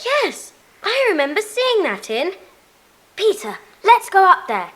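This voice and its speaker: A woman's voice: very high-pitched, wildly swooping pitch, measured pace, a bit bright, full character voice. A woman playing a keen, curious schoolchild in a children's audiobook drama. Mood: eager. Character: keen, lively schoolchild, curious club member.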